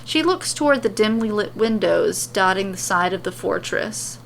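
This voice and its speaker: Woman storyteller, expressive scripted narration, creaky voice, background noise. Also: mid-range voice, normal speed.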